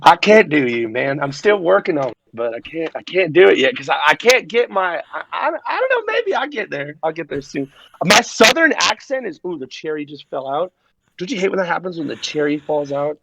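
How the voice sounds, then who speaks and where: Gravelly-voiced young man telling stories. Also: a little high, big pitch swings, sharp tone, highs cut off, a little hiss or hum. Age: 20s. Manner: rambling, profane storytelling with quick impressions. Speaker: DJ guest. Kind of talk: casual podcast chat.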